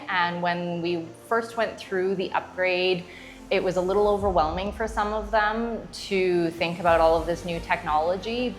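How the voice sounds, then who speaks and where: A woman giving a customer testimonial in a casual, explanatory, conversational interview style. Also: mid-range voice. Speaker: customer giving a testimonial, strata council member. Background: background music.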